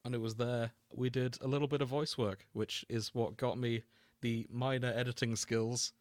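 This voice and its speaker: A man's voice: medium pitch, level tone, moderate pace, no room sound, clean studio sound. A man hosting a podcast, chatting casually and jokily with the odd mock-announcer aside. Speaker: podcast host. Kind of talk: casual comedic podcast chat.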